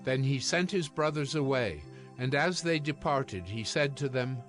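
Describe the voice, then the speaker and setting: A man with a medium-pitched voice narrates scripture from a script. His delivery is measured and storylike, with soft music underneath. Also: expressive. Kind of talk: scripted dramatized Bible narration. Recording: music behind the voice.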